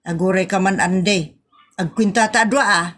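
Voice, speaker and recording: A woman's voice: mid-range voice, moderate pace, fairly bright tone, close to an everyday voice. A woman with an Ilocano accent playing a thrifty, wary married village woman in a comedy audio drama, plain-spoken and questioning. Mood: reluctant.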